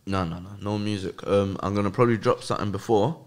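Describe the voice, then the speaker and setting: A man's voice: on the low side, fairly even intonation, fast talker. A man with a London accent chatting casually as a podcast guest, very informal, with slang and frequent tag questions. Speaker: podcast guest, music artist. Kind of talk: casual chat.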